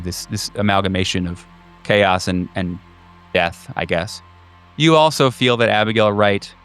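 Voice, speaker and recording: A man hosting a tabletop horror game, improvising narration and table chat in a halting, searching way with repeated words. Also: lowish voice, fairly bright tone, clean tone. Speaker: game master and host. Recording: faint music behind the voice. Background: faint music.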